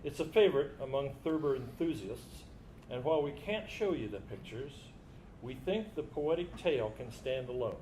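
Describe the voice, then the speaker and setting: A man's voice: medium pitch, expressive, moderate pace, mellow, echoey room, a little hiss or hum, poor audio. A man narrating a literary story in a live staged reading.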